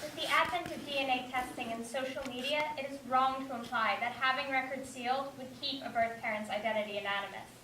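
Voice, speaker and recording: A woman's voice: high-pitched, quick pace, hardly any pauses. A woman delivering scripted, informational narration on stage. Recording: echoey room, distant mic, faint noise.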